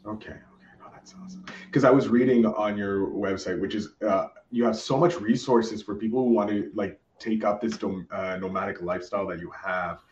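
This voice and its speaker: A man's voice: on the low side, steady tone, fairly quick, darkish tone, recorded from a distance, highs cut off, a little hiss or hum. A man hosting a podcast interview, chatty and enthusiastic, often restarting his sentences. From Canada. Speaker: podcast host. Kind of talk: casual interview chat. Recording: echoey roomy sound from off-mic.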